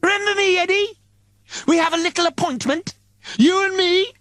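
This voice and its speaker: A man voicing a gleeful, taunting male tormentor in a high-pitched, screechy voice for an audiobook. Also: normal speed, a bit bright, no echo, clean studio sound. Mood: gleeful menace. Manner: taunting, sing-song menace. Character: gleeful, menacing grinning head in a suit, male tormentor.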